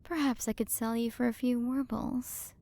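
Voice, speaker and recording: A woman's voice: a little high, steady tone, dark tone, close to an everyday voice. A woman playing a haughty, possessive alien galaxy queen in an ASMR roleplay, condescending and flirtatious as she toys with the listener. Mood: appraising, playful. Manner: condescending, flirtatious, drawn-out delivery with breathy drops into whispers. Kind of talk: ASMR roleplay, scripted character acting.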